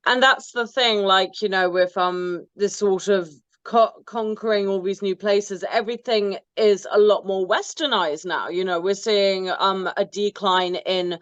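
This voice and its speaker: A woman's voice: mid-range voice, steady tone, clean tone, dry recording. Chatty woman, dull mic. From Britain. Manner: chatty, quick, lots of fillers. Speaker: co-host. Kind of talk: casual discussion.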